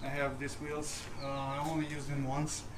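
A man giving an unscripted casual walkthrough as a vlogger, halting and hesitant, often pausing mid-sentence. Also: mid-range voice, completely flat delivery. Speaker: vlogger showing his gear. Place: outdoors in a residential driveway. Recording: clear outdoor ambient noise.

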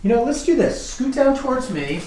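A man's voice: very high-pitched, very animated, a little fast, warm tone. A middle-aged man chats casually as he works, explaining each step like a practising chiropractor. His voice rings in an echoey room, with faint noise behind it. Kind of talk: casual hands-on treatment talk. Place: indoor chiropractic treatment room.

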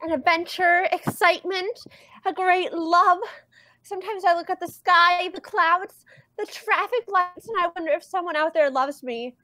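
A woman's voice: extremely high pitch, moderate pace, bright, forceful tone, no echo. A woman voicing a dreamy, lovestruck young female duck in a cartoon comic dub. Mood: pining.

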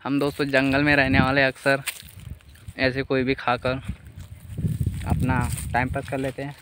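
A man's voice: medium pitch, monotone, moderate pace, noisy background. A young man giving a chatty walking tour of his farm, talking to viewers through a phone held at arm's length outdoors. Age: young adult. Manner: chatty, addresses viewers as friends. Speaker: vlogger. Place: outdoors on a dry farm among trees.

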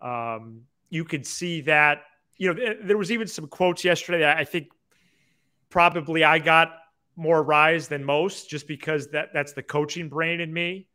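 A man's voice: on the high side, unhurried, bright, forceful tone, smooth. A young man hosting a sports podcast, explaining baseball casually, point by point, into a close desk microphone. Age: young adult. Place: small indoor room, video call.

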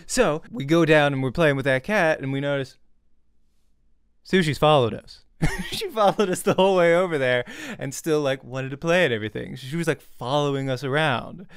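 A young man chatting casually as a vlogger, telling a personal story in an animated way and acting out reactions, close on a desk mic at home. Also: fairly high pitch, normal speed, clean tone. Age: young adult. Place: small home room. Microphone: desk studio mic with pop filter, close.

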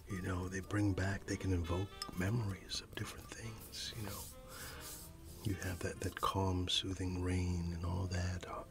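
A middle-aged man doing an ASMR roleplay, whispering and soft-spoken, reminiscing unhurriedly with quiet chuckles. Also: low-pitched, vocal fry. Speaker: ASMR creator. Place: dim indoor room. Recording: soft music in the background. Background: music.

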